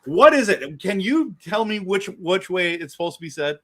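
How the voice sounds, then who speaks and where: A man hosts a casual fan interview and asks his questions with open enthusiasm. His voice sits on the high side for a man. He laughs easily and sounds keen. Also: sing-song, normal speed. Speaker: host of a fan channel, interviewer.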